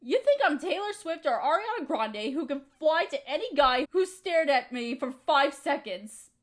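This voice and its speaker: Woman acting as young woman, high voice, hurt and resentful, emotional, accusing, audio drama monologue. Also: expressive, normal speed. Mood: angry, hurt. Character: hurt, resentful young woman confronting the friend she loves.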